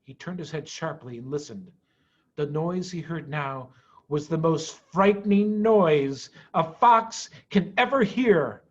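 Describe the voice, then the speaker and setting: Very animated male storyteller. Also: fairly high pitch, muffled recording. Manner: dramatic, expressive pauses and emphasis. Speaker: storyteller, head of school. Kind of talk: animated children's story reading.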